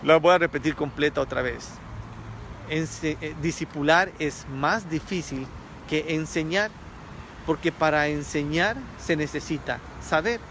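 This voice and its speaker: A man's voice: fairly high pitch, noisy background. A man from Ecuador preaching a sermon, earnest, repeating key phrases for emphasis.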